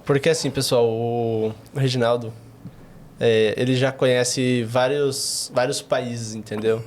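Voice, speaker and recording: A man's voice: mid-range voice, steady tone, measured pace, a little mellow. A man, hosting a casual podcast interview with short exclamations. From Brazil. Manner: short reactions, many exclamations. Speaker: podcast host.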